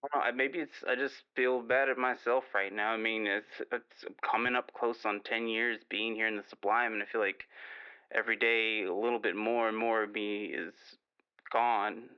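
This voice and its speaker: Guy playing sad wistful baker on a call-in, low smooth voice, rambles hesitantly, kinda muffled. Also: fairly even intonation, moderate pace, close to an everyday voice. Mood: wistful guilt. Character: wistful, guilt-ridden baker who once dreamed of art. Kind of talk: improvised actual-play radio drama.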